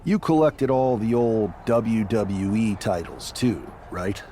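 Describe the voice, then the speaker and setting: An actor playing a smug, commanding and scheming leader of a wrestling faction in a video game scene. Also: male voice, fairly low pitch, slow pace, dark tone, slight background noise. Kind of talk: video game cutscene dialogue.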